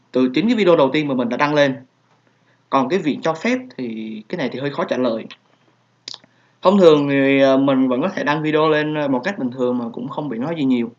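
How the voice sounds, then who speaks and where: A young man, a native Vietnamese speaker with a Vietnamese accent, answering viewer questions in a hesitant, casual way with many fillers. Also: medium pitch. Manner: hesitant, many fillers and soft trailing asides. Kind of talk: casual Q&A vlog. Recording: dull lo-fi home recording.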